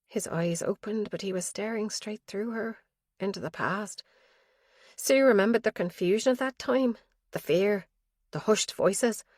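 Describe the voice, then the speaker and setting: A woman narrating an audiobook thriller in a steady, measured way. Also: medium pitch, no echo, clean studio sound. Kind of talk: scripted narration.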